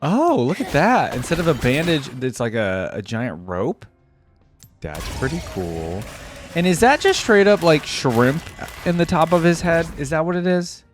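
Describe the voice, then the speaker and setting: A man's voice: a little high, sing-song, a little slow, soft background music. A man doing casual reaction commentary as a video host, musing and thinking aloud in an informal way.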